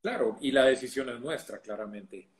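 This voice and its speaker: A man's voice: mid-range voice, moderate pace. A man hosting an interview, asking long reflective questions. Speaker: interview host. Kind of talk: conversational interview. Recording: echoey room.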